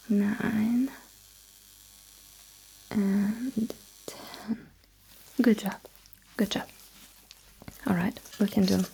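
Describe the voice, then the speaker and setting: A calm alien technician, acted by a woman, speaks in a very breathy whisper. She is attentive and very slow, guiding the listener step by step in a sci-fi ASMR roleplay. The tone is soft and mellow. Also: mid-range voice, exaggerated voice, low-quality recording. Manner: slow, deliberate, instructing.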